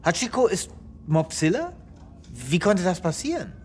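An actor playing a flippant, self-assured veterinarian with a casual, dismissive manner in a comic sci-fi radio play. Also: male voice, high-pitched, wildly swooping pitch, moderate pace, slight background noise. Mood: indignant. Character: flippant, self-assured male veterinarian who knows dogs.

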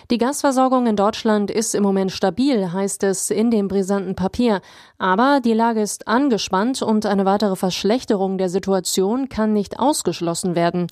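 A woman reading news items in a measured, serious scripted style. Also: medium pitch, a little mellow, no room sound, clean studio sound. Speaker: news presenter.

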